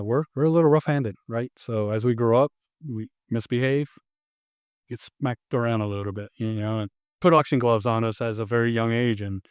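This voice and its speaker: A man's voice: fairly low pitch, darkish tone, no echo, clean studio sound. A man in his fifties, born in Tonga and raised in Los Angeles, telling stories as a martial arts instructor in a casual interview, rambling with many restarts and fillers.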